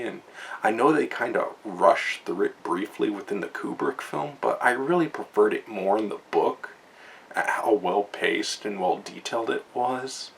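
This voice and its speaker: Gravelly young man rambling a book review. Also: lowish voice, slight background noise, rough recording. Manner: rambling, many fillers and restarts. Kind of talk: casual spoken book review from notes.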